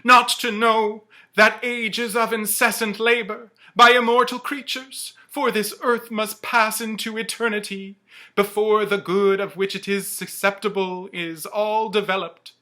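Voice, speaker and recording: A man voicing an anguished, remorseful ghost of a dead businessman, declaiming slowly and mournfully in a storybook reading. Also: high-pitched, flat delivery, voice pushed up, full character voice. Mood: lamenting. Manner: slow, loud, mournful declaiming.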